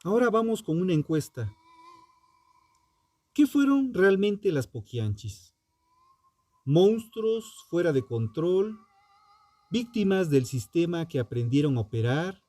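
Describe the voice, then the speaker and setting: Male true crime host, slightly high-set voice but mellow and dark, solemn, dramatic scripted read in a dry studio sound. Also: expressive, no echo. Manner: solemn, deliberate, ominous rhetorical delivery.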